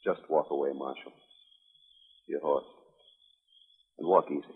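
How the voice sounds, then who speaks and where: An actor playing a hard, menacing rancher in a 1950s radio western, speaking in deliberate threats. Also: male voice, very deep voice, steady tone, husky, exaggerated voice. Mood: stern warning. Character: hard, menacing rancher guarding his friend's claim. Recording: muffled, band-limited old radio broadcast.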